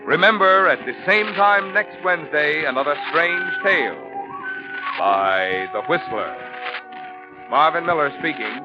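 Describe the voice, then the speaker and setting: A male radio announcer delivers scripted copy in a formal, emphatic 1940s manner. His slightly high voice moves in broad swoops, on a dull vintage broadcast recording. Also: expressive, a little slow, over music.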